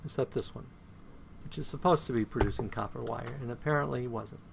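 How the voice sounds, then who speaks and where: Gravelly-voiced man with a croaky voice. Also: mid-range voice, fairly quick, noisy background. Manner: counts items aloud and hums to himself. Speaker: game streamer. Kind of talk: casual gameplay commentary.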